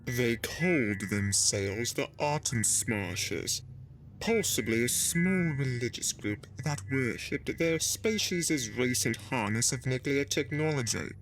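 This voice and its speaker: A man's voice: medium pitch, lively intonation, slow pace, background noise. A man playing a dutiful, literal-minded alien officer in a scripted audio drama, reporting in an earnest military manner.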